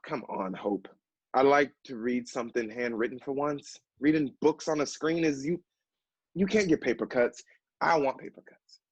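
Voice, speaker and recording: An actor playing an earnest, kindly, gently hesitant man working as a literary assistant in a stage play. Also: medium pitch, normal speed, husky, no room sound, natural voice, low-quality recording. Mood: eager, pleading.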